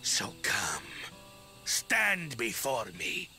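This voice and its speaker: A man's voice: mid-range voice, huge pitch swings, very slow, pushed and bright, soft background music, full character voice. An actor playing a domineering, scornful father in a video game, with archaic, theatrical speech that is grandiose and cruel. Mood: menacing. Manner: archaic, theatrical speech swinging from silky to raging.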